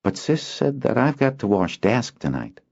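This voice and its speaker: A man's voice: mid-range voice, sing-song, normal speed, creaky, darkish tone, muffled recording, voice pushed up. A man doing a sulky, scheming young boy. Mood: sly. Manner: sulky, snappish, sly.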